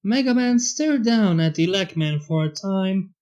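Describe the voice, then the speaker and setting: High-voiced young man reading, unhurried. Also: animated, a little mellow, smooth. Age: young adult. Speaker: fan fiction reader. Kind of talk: narration of a fan story. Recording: dull lo-fi with background music. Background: faint music.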